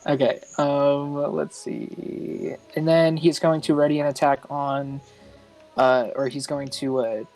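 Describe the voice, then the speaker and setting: A man playing a tabletop game, casually and hesitantly deciding his moves out loud. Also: on the high side, level tone, takes it slowly, faint music behind, rough recording. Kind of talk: casual chat.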